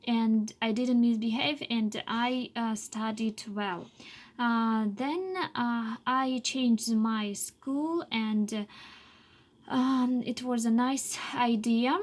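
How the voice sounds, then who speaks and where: Woman, late 20s, slow halting english full of uhs, bit of a high voice. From Russia. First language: Chuvash. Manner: halting, many filler pauses and restarts. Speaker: English learner telling her life story. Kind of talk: unscripted personal monologue.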